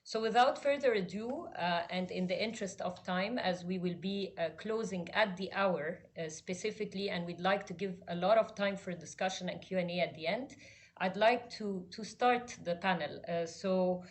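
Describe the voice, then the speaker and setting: A woman's voice: mid-range voice, normal speed. Woman moderator introducing a panel, lots of uhs, polite. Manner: polite, hesitant with fillers.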